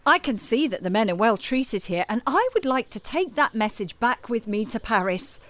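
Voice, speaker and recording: A woman's voice: on the high side, lively intonation, normal speed, fairly bright tone. An actress playing a bold, flirtatious, self-assured French woman cabaret singer in an audio drama. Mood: charming, persuasive. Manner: coquettish, self-assured, theatrical.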